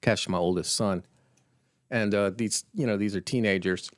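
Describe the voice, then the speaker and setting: Halting, low-voiced man in his fifties. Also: steady tone. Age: 50s. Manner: halting storyteller, trailing fillers and restarts, dry. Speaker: podcast co-host. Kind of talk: casual chat.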